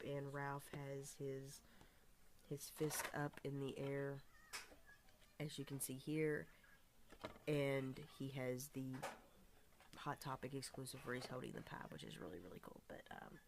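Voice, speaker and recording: A woman with a raspy voice from a recent illness, chatting casually and rambling enthusiastically while reviewing toys at a table at home. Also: sits low, slight background noise. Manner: rambling, enthusiastic, many fillers and restarts. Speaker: toy collector vlogger. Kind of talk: casual toy review to camera. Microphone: camera filming hands and toys, speaker off screen.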